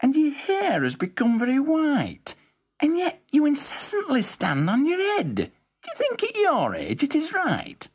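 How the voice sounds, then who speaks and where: A man voicing a cheeky, pestering young man in comic storybook verse, with exaggerated, drawn-out delivery. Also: very high-pitched, huge pitch swings, heavily acted. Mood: inquisitive, cheeky.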